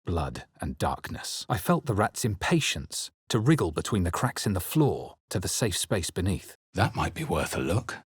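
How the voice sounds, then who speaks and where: A man voicing a grave, wry adventurer hero in a fantasy video game, with measured, theatrical delivery. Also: low-pitched, sing-song, husky, no room sound, studio quality, exaggerated voice. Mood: wry, guarded. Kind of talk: dramatic fantasy game narration and character barks.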